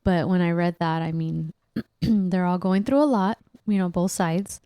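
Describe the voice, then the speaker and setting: A woman from the United States chatting casually as a podcast co-host, relaxed, with lots of fillers. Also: lowish voice, measured pace, clear, resonant tone, no echo, studio quality.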